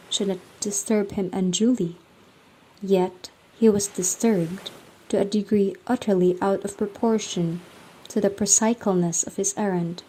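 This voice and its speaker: Mellow woman narrator. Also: medium pitch, poor audio. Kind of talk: scripted story narration for radio drama.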